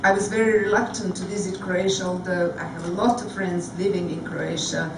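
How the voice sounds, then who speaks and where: Woman with a Belgrade accent, low voice. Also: fairly even intonation, a little fast, distant mic, background noise. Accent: Belgrade Serbian. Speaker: panelist. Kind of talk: panel discussion. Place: large theatre stage before an audience. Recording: echoey hall recorded from afar.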